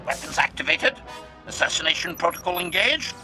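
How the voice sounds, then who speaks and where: A sadistic assassin droid from a sci-fi game. The voice is very sharp and metallic with a robotic filter, clipped and clinical, politely savoring its threats over faint music. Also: male voice, fairly high pitch, very animated, a little fast, heavily acted. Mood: cold relish. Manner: clipped, clinical, formal menace. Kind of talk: video game voice acting.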